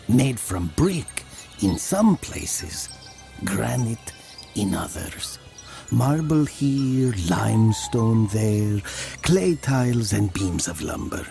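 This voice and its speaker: An actor playing a brooding village man who tells of his past in a measured, theatrical audio drama. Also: lowish voice, big pitch swings, creaky, warm tone, soft background music, exaggerated voice. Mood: brooding, bitter. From southern Italy. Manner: slow, measured, theatrical, with pauses. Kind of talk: dramatic first-person audio drama narration.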